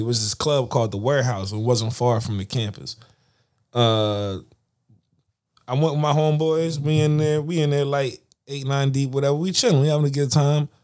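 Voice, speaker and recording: A man's voice: on the high side, a little mellow. A man co-hosting a podcast, talking bluntly and joking in casual banter. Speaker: podcast co-host.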